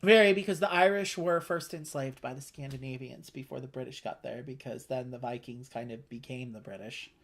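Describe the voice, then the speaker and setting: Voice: mid-range voice, brisk, few pauses. A casual, talkative game co-host with a middle-register voice. They explain things readily and talk through options in an easy conversational style, as if across a table from a friend. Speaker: board game playthrough co-host.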